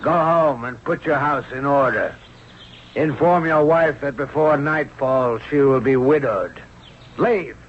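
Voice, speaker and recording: An actor playing a stern, imperious prime minister in a radio drama, formal and commanding. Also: male voice, medium pitch, measured pace, slight background noise, close to an everyday voice. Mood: stern, menacing. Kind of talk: old-time radio drama acting. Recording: muffled radio recording.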